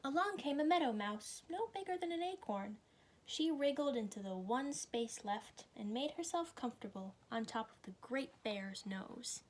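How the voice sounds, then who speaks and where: High-voiced woman reading a children's story. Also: lively intonation, moderate pace, a little hiss or hum. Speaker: storybook reader. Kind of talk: children's story read aloud.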